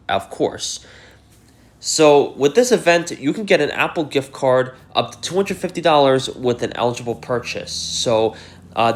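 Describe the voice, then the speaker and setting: A man's voice: medium pitch, fairly bright tone. Congested man chatting about gadgets. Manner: rambling, lots of fillers like "uh" and "you know". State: congested from a cold. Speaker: tech YouTuber. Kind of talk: casual talk to camera.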